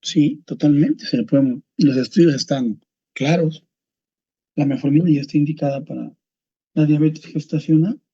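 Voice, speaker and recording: Gravelly man lecturing over a call. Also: a little high, moderate pace, mellow, no echo. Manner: explanatory, firm, addresses students directly. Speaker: doctor teaching a medical course. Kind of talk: online lecture. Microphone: video call. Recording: dull lo-fi video call audio.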